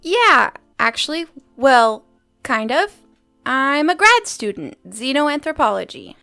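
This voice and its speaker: A woman's voice: sits high, very animated, slow, gravelly, sharp tone, soft background music, heavily acted. A young woman playing a nervous, awkward alien student who stammers and hesitates, in an audio drama. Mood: flustered. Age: young adult.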